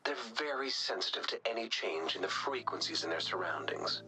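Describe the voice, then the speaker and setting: An actor playing a polite, analytical male researcher in a video game, speaking in a measured, explanatory way. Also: sits low, animated, low-quality recording. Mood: calm, explanatory. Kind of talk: scripted video game dialogue. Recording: music behind the voice.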